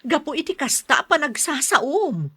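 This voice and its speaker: Long-suffering mom, ilocano accent, bright voice, pleading, radio drama. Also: female voice, high voice, sing-song, unhurried, no room sound, studio quality, exaggerated voice. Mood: pleading, upset. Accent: Filipino Ilocano. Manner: pleading, soothing, maternal. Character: patient, long-suffering mother and wife, middle-aged. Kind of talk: radio serial drama acting.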